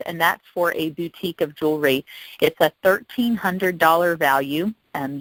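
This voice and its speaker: Chatty woman giving an informal sales pitch. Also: no room sound, poor audio. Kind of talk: informal sales presentation on a conference call. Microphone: telephone conference call.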